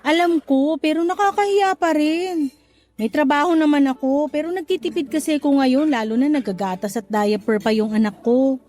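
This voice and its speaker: Actress as a high-voiced young Filipino single mother. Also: normal speed, a little mellow, natural voice. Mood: friendly, curious. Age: young adult. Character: young single mother, frank and feisty, chatty. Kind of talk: Tagalog radio drama acting.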